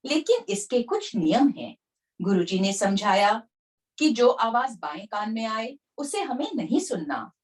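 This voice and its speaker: A woman reading aloud from a devotional book in an expressive narrating manner. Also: mid-range voice.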